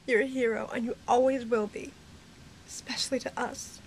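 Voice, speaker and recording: A woman's voice: a little high, fairly even intonation, moderate pace, a little hiss or hum, lightly acted. A woman playing a tough, loyal sixteen-year-old girl in an amateur Western audio drama. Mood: tender, grieving.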